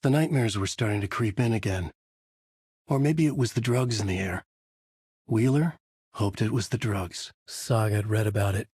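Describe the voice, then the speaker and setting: A husky, low-voiced male writer narrates his own dark thriller like a video game noir. The delivery is grave, moody and deliberate. The sound is close and dry. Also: dry recording. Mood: brooding.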